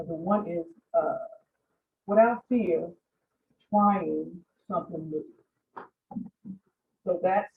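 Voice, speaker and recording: A woman leadership coach giving a conversational, earnest public talk in a museum lecture space. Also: on the low side. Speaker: guest speaker, leadership coach. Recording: phone-like sound from far off.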